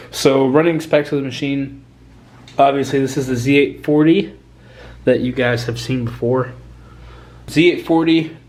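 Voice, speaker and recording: A man with a congested, stuffy-nosed voice talks through a hardware project in an easygoing vlog manner. He is mid-pitched and chatty, often pausing on an um or correcting himself. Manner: relaxed and chatty, with frequent ums and self-corrections. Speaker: YouTube tech vlogger. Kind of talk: casual hands-on tech vlog narration.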